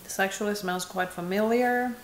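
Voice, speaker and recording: A young woman from Indonesia reviewing perfumes in casual, candid chat, laughing at herself, filmed in a small bright room. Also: medium pitch, moderate pace, roomy sound, slight background noise. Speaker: beauty vlogger.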